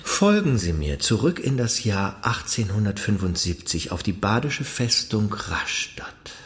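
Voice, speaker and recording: Persistent idealist scholar: eager, stumbling over fillers, animated pitch. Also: male voice, a little slow, husky, exaggerated voice. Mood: eager, earnest. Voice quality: hesitant, with frequent "äh" fillers. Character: earnest, persistent male polyglot idealist. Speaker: private scholar petitioning officials. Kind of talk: radio drama acting.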